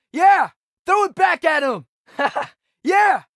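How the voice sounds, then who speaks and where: A man's voice: extremely high pitch, lively intonation, drawn-out words, creaky, fairly bright tone, dry recording, shouting, studio quality, exaggerated voice. A young male actor with an American accent voicing an eager, earnest teenage boy archer in video game combat callouts. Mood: excited. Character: eager teenage boy warrior archer, loyal, quick to react.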